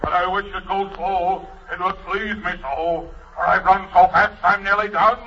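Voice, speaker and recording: A man's voice: high-pitched, steady tone, normal speed, noisy background. An actor playing an old, tired male dragon, out of breath and complaining in rhyme. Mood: gloating. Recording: dull old radio recording, far from mic.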